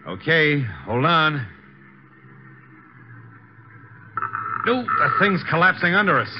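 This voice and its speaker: An American actor playing a breezy, wisecracking detective's assistant in a 1950s radio drama. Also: male voice, fairly high pitch, big pitch swings, normal speed. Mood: excited, wisecracking. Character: wisecracking, easygoing male detective's legman. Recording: noisy, muffled vintage radio recording.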